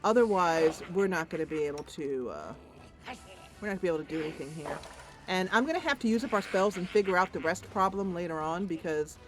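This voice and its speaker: A woman's voice: lowish voice, animated, quick pace, soft background music. A woman doing casual gameplay commentary, thinking aloud playfully and talking to the game's characters. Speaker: host of a game playthrough.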